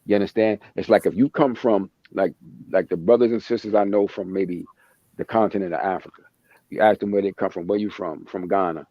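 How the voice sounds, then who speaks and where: A man's voice: low voice, steady tone, brisk, no room sound. A man hosting a podcast, talking in a passionate, emphatic way and often repeating himself. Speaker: podcast host. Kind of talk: casual chat. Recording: dry, dull lo-fi sound.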